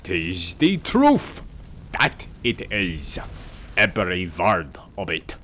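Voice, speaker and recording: A man's voice: low voice, huge pitch swings, takes it slowly, a bit bright, a little hiss or hum, heavily acted. A man voicing a quarrelsome male spirit with a heavy German accent, speaking broken, bossy English in a storybook reading. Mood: scornful. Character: gruff insolent male spirit, squat and quarrelsome. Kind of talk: audiobook character voice.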